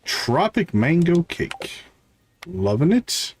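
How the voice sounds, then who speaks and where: A man's voice: on the high side, big pitch swings, slow pace, darkish tone. Man streaming games over clicky background noise. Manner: thinks aloud, chatty with viewers. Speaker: live streamer playing a farming game. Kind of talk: casual live gameplay commentary.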